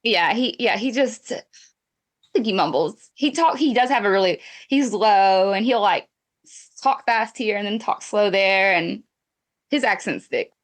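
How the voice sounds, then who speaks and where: A woman's voice: medium pitch, unhurried. A woman from Mississippi with a Southern accent, a reality TV guest talking candidly and conversationally in an interview, with frequent restarts. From Mississippi, USA. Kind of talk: casual interview chat.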